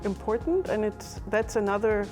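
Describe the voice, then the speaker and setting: A middle-aged woman, a physics professor and project coordinator, explaining in a measured way in a documentary interview. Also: mid-range voice, lively intonation, darkish tone, soft background music.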